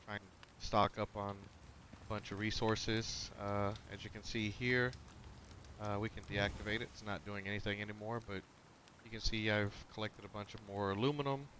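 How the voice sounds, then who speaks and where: A man with a slightly nasal, somewhat low voice talks through a game in a loose, rambling way, full of "uh"s and restarts. He speaks into a noise-cancelling headset, with a faint hum of noise behind him. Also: a bit bright. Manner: rambling, with many fillers and restarts. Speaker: gaming channel host. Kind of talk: casual gameplay commentary.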